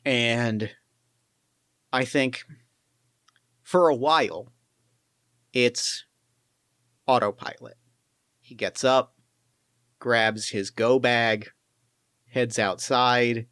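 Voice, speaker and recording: A man's voice: medium pitch, steady tone, fairly bright tone, clean tone, no room sound. Dry-voiced man narrating as game master. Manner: reflective, halting storytelling with many restarts. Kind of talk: narrating a solo tabletop game.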